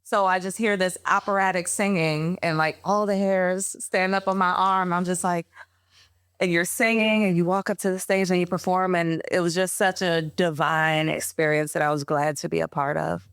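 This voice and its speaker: A woman hosting a podcast interview, chatty and affirming, quick to laugh as she asks her questions. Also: lowish voice, flat delivery, fairly bright tone, smooth, dry recording, clean studio sound. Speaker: podcast host. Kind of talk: conversational interview.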